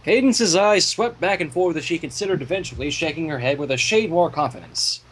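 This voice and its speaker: Husky, animated man reading a story. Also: fairly high pitch, a little fast, low-quality recording. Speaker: story narrator. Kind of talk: expressive fan fiction reading.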